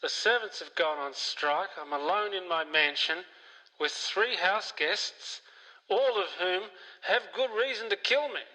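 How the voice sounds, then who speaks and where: A man's voice: high voice, a little slow, sharp tone, exaggerated voice. A man playing a frightened, wealthy mansion owner in a radio mystery play, speaking in a fearful, dramatic way. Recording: long hall reverb.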